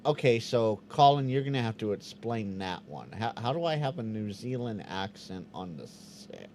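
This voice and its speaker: Chatty man with lively pitch. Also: normal speed, slight background noise. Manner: chatty, stammers on restarts, sounds out Morse code. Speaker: host of a live Q&A stream. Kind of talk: casual live-stream chat reading viewer comments.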